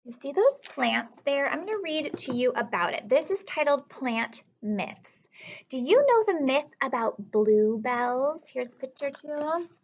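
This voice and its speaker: A woman teacher reading aloud and explaining simply to young children, often putting questions to the listener with emphatic, drawn-out storytelling delivery. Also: high-pitched, sing-song, lo-fi sound, a little hiss or hum.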